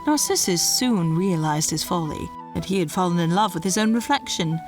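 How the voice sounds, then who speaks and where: A woman giving a scripted storytelling narration in a measured, dramatic way. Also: on the low side, expressive, a little mellow, soft background music.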